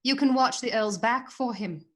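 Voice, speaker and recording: High, haughty princess, acted by a woman. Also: normal speed, close to an everyday voice. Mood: imperious, sly. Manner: arch, teasing, imperious. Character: haughty, sly, mocking royal princess. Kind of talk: radio play acting.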